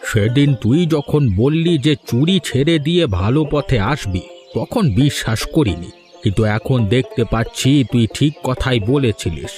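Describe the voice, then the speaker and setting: An older village uncle from a cartoon, voiced by a man, stern but fair. His mid-pitched Bengali has a West Bengal accent and an admonishing, authoritative manner, with music playing behind. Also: lively intonation, moderate pace, a little mellow, lightly acted. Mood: approving. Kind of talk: cartoon voice acting.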